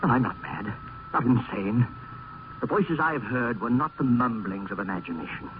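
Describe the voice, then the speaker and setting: A man's voice: mid-range voice, normal speed, close to an everyday voice. An actor playing a morbid, haunted man sliding into madness in an old radio horror play, intense and melodramatic. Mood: haunted, insistent. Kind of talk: vintage radio horror acting. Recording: tinny, noisy old radio recording.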